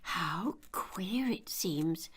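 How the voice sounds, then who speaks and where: A woman's voice: fairly low pitch, animated, drawn-out words, a bit bright, slightly rough, voice pushed down, exaggerated voice. A woman voicing a curious, fretful little girl in a storybook, musing aloud and exclaiming in polite, old-fashioned phrases. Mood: surprised, musing.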